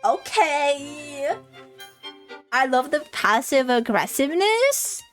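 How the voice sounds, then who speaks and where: High-voiced woman streamer reading aloud over music. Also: sing-song, takes it slowly. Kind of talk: expressive read-aloud with casual commentary. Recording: music playing behind the voice. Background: game music.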